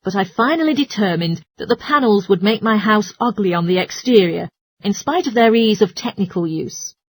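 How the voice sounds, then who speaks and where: A woman's voice: mid-range voice, animated, normal speed, no room sound, rough recording. A woman presenting a scripted, radio-style advice talk in an explanatory, instructional manner. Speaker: presenter of a listening-test talk.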